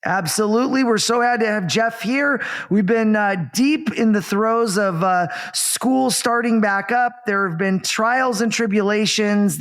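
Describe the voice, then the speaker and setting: A man's voice: sits high, a bit bright. A man hosting a podcast, introducing guests in an upbeat, conversational style. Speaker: podcast host.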